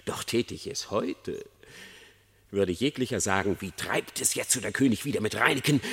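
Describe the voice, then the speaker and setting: Sly, flattering fox in a resonant hall. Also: male voice, fairly low pitch, husky, heavily acted. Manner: theatrical, obsequious, emphatic declamation. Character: sly, flattering male fox feigning pious remorse. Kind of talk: German radio drama verse acting. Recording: long reverberant hall.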